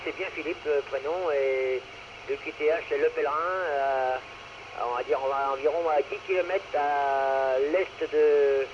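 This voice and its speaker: A man chatting casually as an amateur radio operator, taking turns on air. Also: medium pitch. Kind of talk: casual radio contact chat. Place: radio transmission with noise behind. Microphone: two-way radio microphone. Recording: muffled two-way radio, clear static noise.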